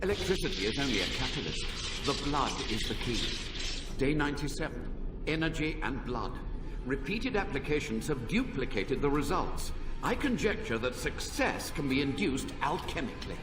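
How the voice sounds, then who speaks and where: A man's voice: on the high side, big pitch swings, moderate pace, reverberant, long reverb tail, far from the mic, music in the background. An actor playing an obsessive male mage researcher dictating journal entries in a clinical, detached voice.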